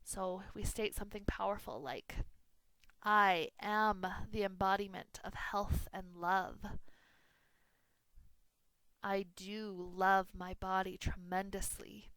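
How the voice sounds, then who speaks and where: A woman teaching guided meditation, speaking soothingly in unhurried phrases with pauses. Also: mid-range voice, fairly even intonation, fairly bright tone, smooth, dry recording, low-quality recording. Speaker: yoga nidra meditation teacher.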